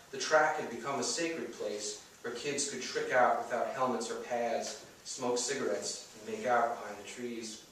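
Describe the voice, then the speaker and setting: A man reading first-person fiction aloud as its author at a live bookstore event. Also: mid-range voice. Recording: echoey room, distant mic, faint noise.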